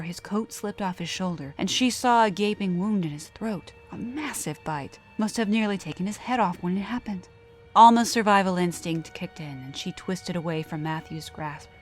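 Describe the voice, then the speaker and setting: A woman reading a scripted horror story as narrator, with dramatic, measured delivery. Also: fairly low pitch. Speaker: storyteller and show host.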